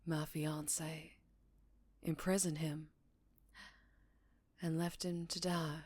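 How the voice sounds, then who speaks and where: Casting: actress as melancholy, tender vampire woman for ASMR roleplay; low register, Southern US accent, hushed and unhurried. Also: slightly rough, exaggerated voice. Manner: slow, hushed, affectionate, with pauses and sighs. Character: gentle, melancholy English-born female vampire, tender and wary. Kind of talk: intimate ASMR roleplay, soft and partly whispered.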